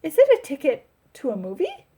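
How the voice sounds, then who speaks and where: A woman voicing a fretting, coaxing mother in a children's storybook, with emphatic, drawn-out delivery. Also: high-pitched, huge pitch swings, mellow, pitched above the performer's own voice. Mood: concerned.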